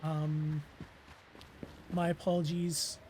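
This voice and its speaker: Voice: mid-range voice, flat delivery, takes it slowly, a little mellow, a little hiss or hum, rough recording. A let's play host giving casual gameplay commentary, rambling with lots of fillers and self-deprecating asides, voice weakened and strained from losing it.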